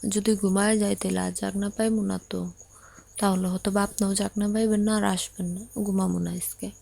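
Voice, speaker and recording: A woman's voice: medium pitch, flat delivery, fairly quick, gravelly, warm tone, dry recording. A woman playing an upset, impatient young bride in an audio story, speaking plaintively and insistently in colloquial speech with a Bangladeshi accent. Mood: softly confiding.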